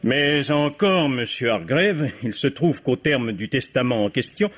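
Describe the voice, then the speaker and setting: An authoritative male official in a 1950s-style radio drama. His mid-range voice is deliberate and formal, with a stern edge. The recording is slightly dull and dated. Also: mid-range voice, lively intonation, fairly bright tone. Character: stern, official male attorney general running an inquiry. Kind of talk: 1950s French radio play acting.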